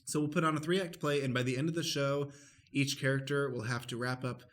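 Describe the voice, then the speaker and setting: Man, middle pitch, podcast host, announcer-like introduction, explanatory delivery. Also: steady tone, a little fast, fairly bright tone. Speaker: podcast host and stage manager. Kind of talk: scripted-sounding show introduction, addressing listeners.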